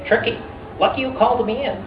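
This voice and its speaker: A woman playing an excitable, eccentric sidekick in a comedic radio play, with a theatrical delivery. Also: fairly high pitch, fast talker, lightly acted. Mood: wry. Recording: echoey, dull, with crowd and slight noise.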